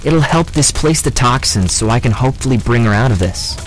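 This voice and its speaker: An actor playing a calm, knowledgeable young mage healer, explaining things matter-of-factly in an audio drama. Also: male voice, medium pitch, a little fast, a little hiss or hum, lightly acted, low-quality recording. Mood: explaining, focused.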